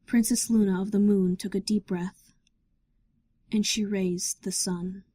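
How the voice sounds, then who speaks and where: A woman's voice: mid-range voice, steady tone, measured pace, mellow, slightly rough, no room sound. A woman narrating a scripted story in a dramatic reading style.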